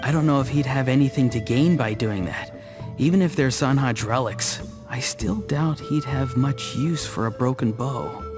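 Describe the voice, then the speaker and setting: A man's voice: medium pitch, moderate pace, natural voice. Man playing an easygoing young grandson over music. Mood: thoughtful. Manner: casual, earnest. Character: easygoing young grandson, thoughtful, gently teasing. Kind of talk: video game voice acting.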